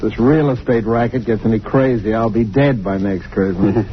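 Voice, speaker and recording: Actor as an uneasy, halting American realtor, old radio. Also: male voice, on the low side, dull audio, lightly acted. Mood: uneasy, confiding. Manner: halting, stammering, folksy. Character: uneasy, hesitant male real estate agent confiding in his pal. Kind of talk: 1940s radio drama acting. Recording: old radio recording with faint noise.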